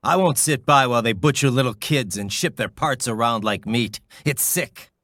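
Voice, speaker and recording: An actor playing a grim, battle-hardened cyborg mercenary in a game, terse and deliberate, in a raspy growl. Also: male voice, medium pitch, a bit bright, no room sound, studio quality, close to an everyday voice. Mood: indignant. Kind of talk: video game cutscene acting.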